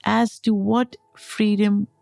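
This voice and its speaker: Slow-paced woman hosting a podcast. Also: medium pitch, level tone, gravelly, darkish tone. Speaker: podcast host. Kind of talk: scripted podcast introduction.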